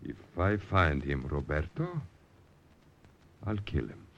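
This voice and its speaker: Vengeful resistance fighter, deep voice, really animated, old radio drama. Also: male voice, slow pace, muffled recording, very noisy, exaggerated voice. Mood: grim vengefulness. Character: vengeful, hard-bitten Italian partisan man.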